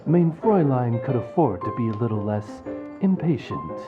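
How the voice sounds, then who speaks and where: A man's voice: mid-range voice, big pitch swings, moderate pace, natural voice. A man voicing a courteous, dry raven familiar in a video game, speaking like a formal butler. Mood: dryly apologetic. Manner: formal, polite butler-like phrasing. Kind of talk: video game character acting. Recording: narrow tinny band, loud music behind.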